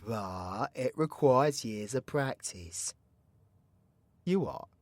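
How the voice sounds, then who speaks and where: A man's voice: mid-range voice, lively intonation, takes it slowly, dry recording. A man playing a cocky, foul-mouthed, playfully teasing supervillain mentor in an audio roleplay, with a British accent and a slangy, swaggering, theatrical delivery. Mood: teasing, instructive. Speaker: voice actor. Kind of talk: villain ASMR audio roleplay, addressing the listener.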